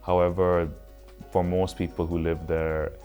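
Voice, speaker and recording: A man in his late twenties giving thoughtful, measured interview answers with some fillers. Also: deep voice, little pitch movement, mellow, soft background music. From Switzerland. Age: late 20s. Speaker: interview guest.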